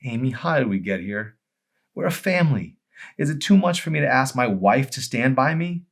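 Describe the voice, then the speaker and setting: A man voicing a desperate, anguished husband and fallen pastor, emphatic and often shouting, in an audiobook. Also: mid-range voice, lively intonation, normal speed, pitched above the performer's own voice, lightly acted. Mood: pleading. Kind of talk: dramatic audiobook character acting.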